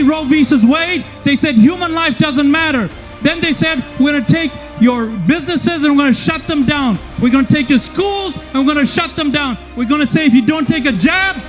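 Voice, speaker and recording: A speaker giving a rousing rally speech, shouting emphatically to the people gathered. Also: sits high, low-quality recording. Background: music.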